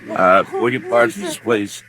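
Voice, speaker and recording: An American man in a vintage radio western, playing a steady, conscientious frontier marshal with a plainspoken, halting, grave manner. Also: lowish voice, loud music behind the voice, natural voice, rough recording. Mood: businesslike. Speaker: radio actor. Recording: vintage radio recording, dry studio sound.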